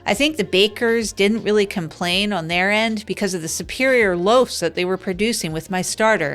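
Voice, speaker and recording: Rambling, deadpan woman radio host. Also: mid-range voice. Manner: rambling, self-deprecating, deadpan. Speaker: radio show host character. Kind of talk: improvised comedy radio monologue.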